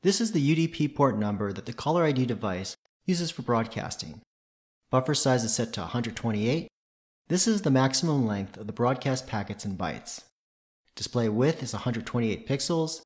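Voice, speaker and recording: A man's voice: medium pitch, expressive, moderate pace. A man presenting a tutorial, reading scripted technical narration in a methodical, matter-of-fact way.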